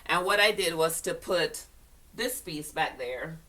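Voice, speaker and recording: Low-voiced older Jamaican woman, unhurried. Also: lively intonation, pushed and bright, far from the mic. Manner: chatty, rambling, with many fillers and laughs. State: congested sinuses. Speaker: fashion vlogger. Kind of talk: casual chat to camera.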